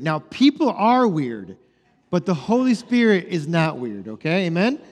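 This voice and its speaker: Pastor in his forties, echoing hall. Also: sits high. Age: 40s. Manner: conversational storytelling, acts out dialogue. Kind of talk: sermon. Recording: long hall reverb.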